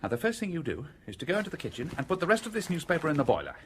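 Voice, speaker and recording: A man in a radio drama playing a cool-headed, commanding British gentleman schemer, brisk, wry and bossy. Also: on the high side, wildly swooping pitch, a little hiss or hum.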